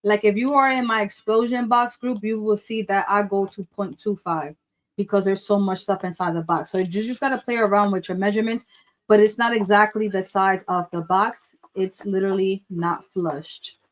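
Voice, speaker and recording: A woman's voice: medium pitch, normal speed. Chatty nervous woman doing a craft livestream, lots of y'all, a bit of spanish. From Dominican Republic. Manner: chatty and excitable, says y'all a lot, mixes in some Spanish. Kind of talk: live craft tutorial with chat shout-outs.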